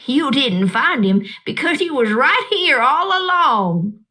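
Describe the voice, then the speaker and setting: A woman's voice: high voice, big pitch swings, bright, forceful tone, exaggerated voice. Woman doing a drawling old woman. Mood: folksy. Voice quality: slow, drawling, folksy. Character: old Southern woman, kindly, wise storyteller.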